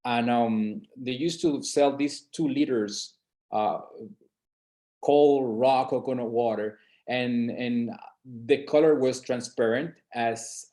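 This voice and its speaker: A man's voice: mid-range voice, warm tone. A middle-aged man from Colombia's San Andres islands giving an informal webinar presentation, hesitant, with many fillers and restarts. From San Andres and Providence, Colombia.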